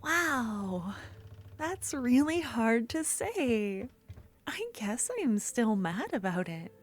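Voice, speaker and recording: A woman playing an obsessive, menacing yet tender duelist rival, bitterly infatuated, in an intimate, halting ASMR fantasy roleplay. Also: a little high, sing-song. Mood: bitter, admiring.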